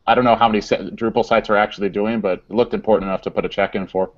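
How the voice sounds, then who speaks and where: A man co-hosting a casual tech chat, talking haltingly and often repeating words. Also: on the low side, steady tone, lo-fi sound. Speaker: co-host.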